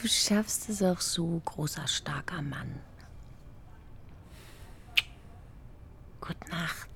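A woman's voice: low-pitched, animated, takes it slowly, fairly bright tone, a little hiss or hum, exaggerated voice. A woman playing a tired, pragmatic young girlfriend with a dry, understated manner in a naturalistic radio drama. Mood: whispering, weary. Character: tired, pragmatic pregnant girlfriend, dryly teasing.

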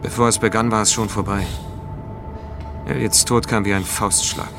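An actor playing a brooding, world-weary investigator, narrating his story in the first person with a creaky voice in a radio drama. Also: on the low side, steady tone, normal speed. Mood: somber. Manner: brooding, literary. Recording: music playing behind the voice.

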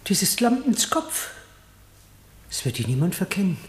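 A woman's voice: fairly low pitch, very animated, normal speed. A woman playing an animal courtier in a German radio play, exclaiming dramatically in a reverberant hall. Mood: shocked. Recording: long echoing hall reverb.

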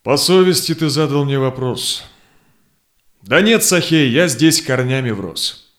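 Man acting steadfast Cossack peacemaker, medium pitch, earnest, persuasive, measured rhymed verse, audio drama. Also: lively intonation, natural voice. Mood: sincere, firm. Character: steadfast, conciliatory Russian Cossack envoy, husband and father.

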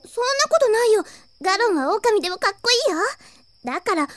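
A woman's voice: extremely high pitch, very animated, a little fast, no echo. A woman voicing a kind, naive young catgirl in a Japanese anime-style audio drama, with a cutesy girlish voice. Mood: earnest. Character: cheerful, kind young catgirl of the dark clan.

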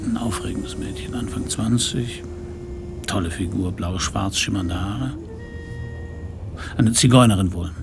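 Gravelly, wry Paris private eye. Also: male voice, on the low side, unhurried, long pauses, over music. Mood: wry, musing. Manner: dry, laconic, sardonic. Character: hard-boiled, wry, stubborn male Paris private detective. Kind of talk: first-person radio crime drama narration and dialogue.

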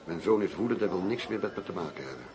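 A man's voice: deep voice, lively intonation, fast, over music. An actor playing a controlled male undercover agent in a radio drama, speaking in a terse, businesslike, guarded way. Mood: bitter, restrained. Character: controlled undercover agent posing as shady arms-dealing doctor.

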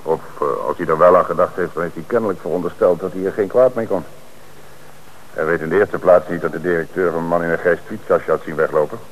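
An actor playing a calm, shrewd police commissioner who speaks in a measured, authoritative way in a radio drama. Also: male voice, deep voice, a little hiss or hum, low-quality recording.

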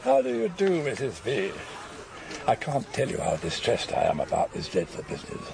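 An actor playing a courteous, urbane older English gentleman in a British radio drama. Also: medium pitch, wildly swooping pitch, normal speed, vocal fry, a crowd in the background. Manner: courteous, genteel. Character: urbane older gentleman, courteous, loyal friend of the victim's family.

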